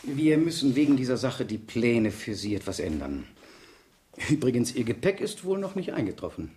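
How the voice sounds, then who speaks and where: Actor as a formal police chief, swooping intonation. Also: male voice, mid-range voice, very animated, dark tone, lightly acted. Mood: awkward, confiding. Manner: formal, brisk, hesitant on delicate matters. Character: courteous but awkward male police superintendent in charge. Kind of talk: 1970s German radio crime drama acting.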